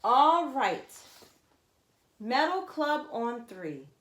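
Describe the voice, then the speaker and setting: Storybook schoolchild, voiced by a woman, encouraging and loyal, raised animated pitch, roomy echo. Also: extremely slow, heavily acted. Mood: rallying. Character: encouraging, loyal school kids cheering on friends. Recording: echoey, roomy sound.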